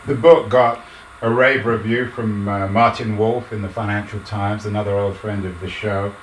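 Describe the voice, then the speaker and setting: A man's voice: lowish voice, fairly even intonation, moderate pace. A man hosting an interview podcast, asking probing, emphatic questions. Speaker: podcast host. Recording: echoey room, distant mic, faint noise.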